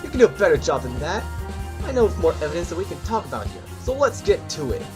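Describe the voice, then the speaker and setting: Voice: mid-range voice, very animated, quick pace, over loud music, close to an everyday voice. An actor playing a brash, cocky surprise witness in a courtroom audio drama, combative and insulting in an informal way. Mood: scornful, cocky.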